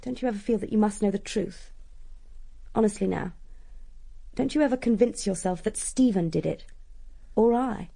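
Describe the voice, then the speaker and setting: Well-bred young Englishwoman: soft, mellow tone, cool frankness, quietly challenging, radio drama. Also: mid-range voice, moderate pace, creaky, long pauses. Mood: frank, challenging. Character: candid, strong-willed upper-class young woman, quietly challenging.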